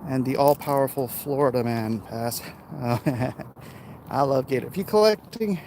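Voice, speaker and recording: Casting: American male, average pitch, informal rambling walk-and-talk livestreamer, recorded outdoors with noticeable urban street noise. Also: expressive, normal speed, warm tone. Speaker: livestream host. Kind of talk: casual walking livestream chat. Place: outdoors, walking along neighborhood streets. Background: urban outdoor ambience, vehicles.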